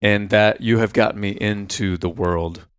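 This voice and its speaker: A man's voice: on the low side, steady tone, moderate pace, clear, resonant tone, no echo, studio quality. A man hosting a casual podcast chat, teasing his guest in a friendly way and setting up jokes. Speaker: podcast host.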